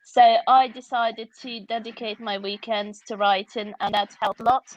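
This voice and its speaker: A woman's voice: on the high side, fairly even intonation, normal speed, dull audio, a little hiss or hum. A woman from Russia with a Russian accent, a guest author speaking candidly and reflectively, often laughing, over a video call.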